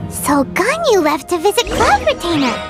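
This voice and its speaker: A woman's voice: extremely high pitch, very animated, normal speed. A woman voicing a tiny, chirpy female fairy companion who talks about herself in the third person. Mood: excited. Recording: game audio with loud background music.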